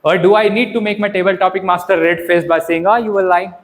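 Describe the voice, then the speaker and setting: A man, a trainer giving an interactive public speaking workshop in an animated, instructive way, often asking questions and checking in. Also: sits high, fast.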